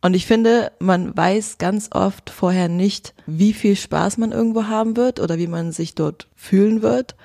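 A woman co-hosts a casual podcast and talks in a frank, chatty way, laughing often. Her voice has a gravelly edge and sounds dry and close to the mic. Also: mid-range voice, a little slow, few pauses, mellow, no echo. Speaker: podcast co-host.

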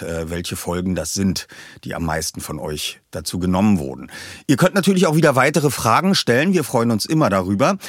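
A man's voice: lowish voice, slightly rough, dry recording, studio quality. A man hosting a feature for listeners in a chatty, informal way, addressing them directly. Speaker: host. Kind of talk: casual presentation to listeners of an audio drama feature.

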